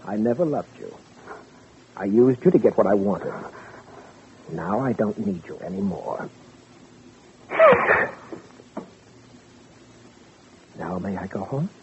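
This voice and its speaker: A man's voice: fairly low pitch, animated, moderate pace, pauses often. An actor playing a cold, callous man dismissing his mistress, curt in 1940s radio drama style. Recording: muffled old radio recording, faint hiss.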